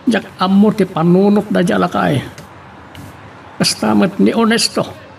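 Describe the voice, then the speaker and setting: High-pitched, creaky Ilocano boss. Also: male voice, fairly even intonation, a little slow, soft background music, full character voice. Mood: businesslike. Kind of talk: Ilocano radio drama acting.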